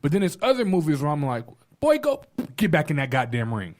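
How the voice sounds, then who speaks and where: A man's voice: a little high, wildly swooping pitch, fairly quick, darkish tone. Blunt man in a casual debate. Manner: blunt, profane, repeats himself. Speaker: podcast panelist.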